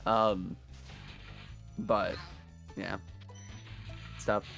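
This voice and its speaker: A man commentating a live gaming marathon in a casual, chatty, self-deprecating way, his voice hoarse from days of yelling. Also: lowish voice, little pitch movement, very slow, soft background music.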